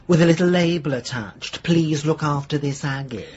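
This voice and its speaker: A man's voice: on the high side, normal speed, darkish tone, lo-fi sound, slight background noise, close to an everyday voice. An actor playing a camp, arch and affectionately teasing male friend in a radio drama.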